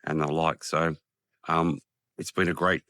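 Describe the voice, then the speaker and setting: An Australian man with a croaky voice from a cold, talking casually in a rambling vlog with many ums and restarts, outdoors in a quiet park. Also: extremely low pitch, little pitch movement, measured pace, no echo, clean studio sound. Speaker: vlogger. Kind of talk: casual vlog talk to camera.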